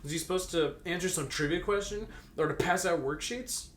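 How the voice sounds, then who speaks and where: A man's voice: high-pitched, fairly quick, fairly bright tone. A man doing a comedic, mock-dramatic read-aloud of fan fiction with joking banter. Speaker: co-reader reading fan fiction aloud with commentary. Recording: echoey room with faint background noise.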